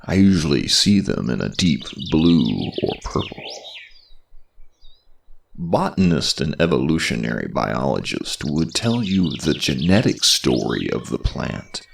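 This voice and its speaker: A man presenting a scripted mythology tale like a history professor, deliberately, with dramatic pauses and dry, tongue-in-cheek humor. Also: very deep voice, vocal fry, distant mic. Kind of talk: scripted storytelling narration of mythology.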